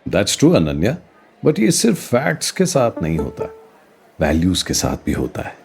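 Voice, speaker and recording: A man's voice: deep voice, huge pitch swings, measured pace, creaky, faint music behind, full character voice. A man playing a thoughtful political science professor in a radio play, explaining things expressively and emphatically. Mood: reflective. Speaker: political science teacher character. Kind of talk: radio play dialogue, Hindi mixed with English.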